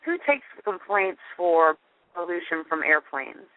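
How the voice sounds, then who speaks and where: A woman's voice: fairly low pitch, sing-song, a little slow, dry recording. A woman calling in a complaint by phone, insistent and questioning, often repeating herself. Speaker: member of the public calling in a complaint. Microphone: telephone. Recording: narrow-band telephone line.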